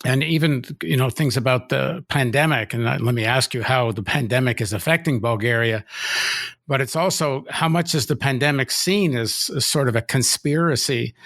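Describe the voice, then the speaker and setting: A man's voice: mid-range voice, gravelly, fairly bright tone, no echo, clean studio sound. A male host interviewing a guest, rambling and thinking aloud before he gets to his question.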